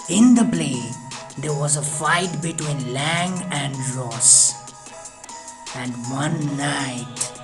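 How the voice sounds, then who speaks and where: A storyteller reading a scripted ghost story in a dramatic, deliberate manner. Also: mid-range voice. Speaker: storyteller, audiobook reader. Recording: music behind the voice. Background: music.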